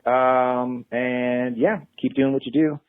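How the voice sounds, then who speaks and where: A man's voice: mid-range voice, steady tone, slow, smooth, no echo. A man leaving a casual, rambling voicemail over a tinny phone line, hesitating as he thinks aloud.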